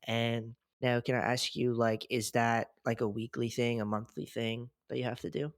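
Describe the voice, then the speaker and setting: A man's voice: medium pitch, flat delivery, moderate pace, no room sound, studio quality. A man hosting a podcast, asking questions casually and chiming in with short encouraging affirmations. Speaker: podcast host. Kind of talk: casual interview chat.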